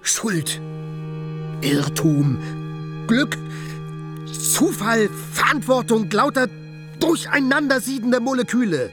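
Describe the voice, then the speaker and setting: A man's voice: very high voice, big pitch swings, slow pace, pauses often, over music, exaggerated voice. A man playing a brooding, fanciful poor dreamer in a radio drama, speaking in a declamatory, poetic way. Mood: bitter, brooding. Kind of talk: theatrical radio drama acting.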